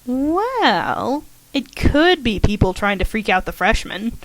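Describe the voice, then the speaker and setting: A woman reading as a bright, teasing college girl. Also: on the high side, big pitch swings, slow pace, dry recording, full character voice. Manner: playful, upbeat. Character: friendly teasing young woman college student.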